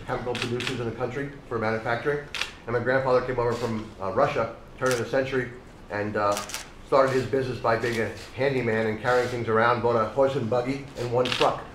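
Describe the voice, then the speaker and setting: A man introducing himself as a company executive, earnest and slightly halting. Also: medium pitch. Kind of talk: formal self-introduction. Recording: echoey room with noise.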